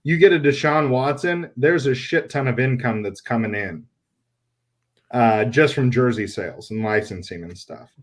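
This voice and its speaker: A man hosting a casual sports talk show, animated and blunt, with a loose, joking delivery. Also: mid-range voice, normal speed. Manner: animated, laughs, swears casually. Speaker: podcast host.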